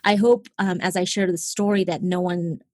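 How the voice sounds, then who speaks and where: A woman in her forties from Seattle, openly telling her personal faith story as a podcast guest, earnest and quick to laugh. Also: fairly low pitch, steady tone, a little mellow, no echo, clean studio sound. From Seattle, Washington, USA. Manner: open and earnest, laughs easily, addresses listeners as guys. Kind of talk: candid personal testimony.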